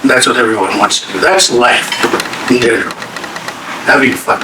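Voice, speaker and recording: A man speaking as a guest at a staff workshop. He is losing his voice and talks in a slow, deliberate way with pauses. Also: mid-range voice. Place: meeting room. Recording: echoey room from a distance, audible hiss.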